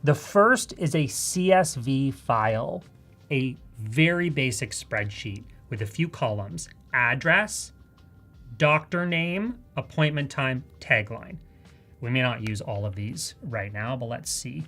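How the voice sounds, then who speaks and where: A woman's voice: a bass voice, animated, takes it slowly, a bit bright, soft background music. Woman, Canadian accent, enthusiastic, chatty, casual live demo presenter, conversational. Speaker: presenter of a live software tutorial.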